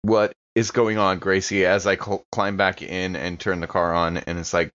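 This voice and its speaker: A man's voice: deep voice, flat delivery, moderate pace, fairly bright tone. A man chatting casually and acting out his moves as a player in a tabletop roleplaying game. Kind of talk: casual chat and in-character play. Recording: dull, lo-fi sound.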